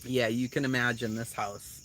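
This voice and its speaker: A woman with a Boston accent hosting a casual live chat at home, blunt and quick to swear, laughing often as she reacts to comments. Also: extremely low pitch, level tone, noisy background. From Boston area, USA. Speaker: channel host.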